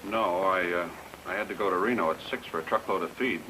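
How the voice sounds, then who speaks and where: A noisy vintage radio recording of a ranch hand, played by a man. His low voice comes out fast and defensive as he explains himself. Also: lightly acted. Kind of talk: old-time radio detective drama acting.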